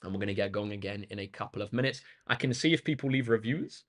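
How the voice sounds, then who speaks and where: Casual male streamer, slightly croaky voice. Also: fairly low pitch, fast. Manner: informal, appreciative, addresses viewers directly. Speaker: maths tutor live streamer. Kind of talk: casual livestream chat to viewers.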